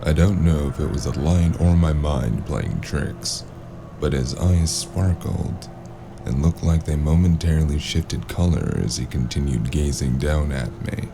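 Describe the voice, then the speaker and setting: A man playing a wary amnesiac drifter in a noir audio drama, hesitant and wry, with a very deep, gravelly voice. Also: a little slow, noisy background, exaggerated voice. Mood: brooding. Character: wary amnesiac male drifter narrating his noir tale. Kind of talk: noir audio drama acting and first-person narration.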